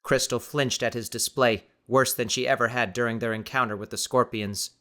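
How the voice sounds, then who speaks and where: Male audiobook narrator, dramatic scripted read, mid pitch, tense bright tone. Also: fairly even intonation, normal speed.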